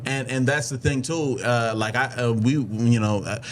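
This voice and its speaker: A man co-hosting a casual stream discussion, speaking in a thoughtful, halting way. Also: mid-range voice, steady tone, a little hiss or hum. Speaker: stream co-host.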